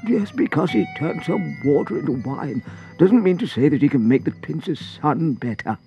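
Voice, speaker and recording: A man's voice: a little high, huge pitch swings, normal speed, muffled recording, soft background music, voice pushed up. A man voicing a doubtful court advisor, muttering his disbelief in a storybook reading. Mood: scoffing. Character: sceptical grumbling male court advisors.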